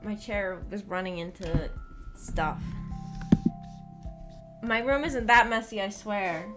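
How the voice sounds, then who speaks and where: A woman's voice: medium pitch, slow. A woman streamer chatting casually to viewers in a rambling, self-conscious, giggly way. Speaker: livestream host. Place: at home in her room. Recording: music playing behind the voice. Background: background music.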